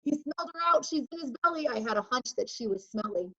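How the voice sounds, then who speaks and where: A woman voicing an excitable, cheeky young boy in a storybook rhyme, exclaiming breathlessly. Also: high voice, fast, heavily acted. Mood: alarmed, breathless. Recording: dull lo-fi call audio.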